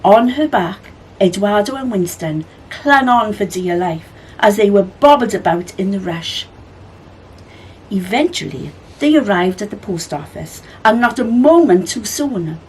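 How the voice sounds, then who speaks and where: A woman narrates a children's story in an expressive, animated way. Her medium-pitched voice rises and falls a great deal, with faint background noise. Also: a little slow, low-quality recording. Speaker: library storyteller.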